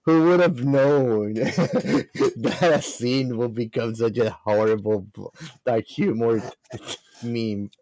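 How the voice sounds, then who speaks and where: A man's voice: mid-range voice, takes it slowly, a little mellow, no echo, poor audio. A man chatting casually as a panelist on a podcast. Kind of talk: casual chat.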